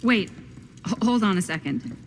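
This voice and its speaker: An actress playing a composed, probing middle-aged woman in a video game, measured and dryly serious. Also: medium pitch, slight background noise, lightly acted. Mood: guarded, firm. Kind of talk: naturalistic video game acting.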